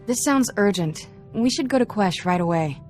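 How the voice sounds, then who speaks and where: A woman's voice: medium pitch, animated, moderate pace, darkish tone, faint music behind, natural voice. An actress playing a wry, streetwise young woman in a video game, speaking in clipped, casual phrases. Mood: brisk, casual. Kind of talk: video game cutscene acting.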